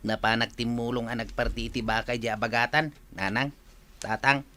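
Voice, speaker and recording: Man acting a soft-spoken dutiful Ilocano son. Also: mid-range voice, fairly even intonation, fairly quick, lightly acted. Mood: modest, kindly. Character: mild, dutiful, resourceful son and husband. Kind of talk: Filipino radio drama acting.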